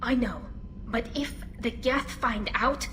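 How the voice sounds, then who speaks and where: A woman playing an earnest, anxious young alien engineer in a video game, expressive and nervous in delivery. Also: on the high side, takes it slowly, a little hiss or hum. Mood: subdued, conciliatory.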